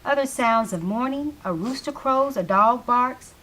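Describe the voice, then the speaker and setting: A woman with a fairly high voice narrates a play. She reads the scripted stage directions between the characters' lines. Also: measured pace, fairly bright tone.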